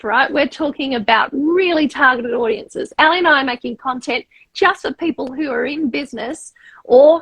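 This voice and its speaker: Podcast host: woman with a broad Australian accent, high husky voice, dry sound. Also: a bit bright, dry recording, low-quality recording. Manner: emphatic, often tags "right?". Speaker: podcast host, media coach. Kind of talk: casual podcast chat.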